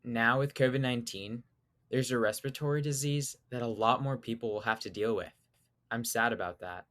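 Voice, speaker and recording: A teenage young man reads his own essay aloud with a steady, earnest delivery. His voice sits at an ordinary middle pitch, and he grew up speaking Spanish at home. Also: fairly quick. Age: teens. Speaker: student essayist. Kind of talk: scripted personal essay reading.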